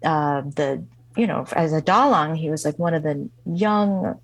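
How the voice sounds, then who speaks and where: A woman guest musician, telling a personal story in an interview, speaking haltingly with many fillers and restarts. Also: low-pitched, dry recording. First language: not English.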